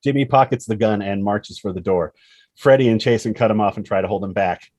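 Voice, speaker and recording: A man's voice: on the low side, fairly quick, a little mellow, no echo, studio quality. A man narrating screenplay action and scene headings as a scripted table read. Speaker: narrator reading stage directions.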